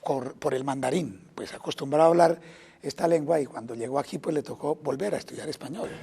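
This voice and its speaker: Gravelly interviewer, formal. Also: male voice, fairly high pitch, fast, mellow. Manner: formal, long winding questions. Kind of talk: news interview.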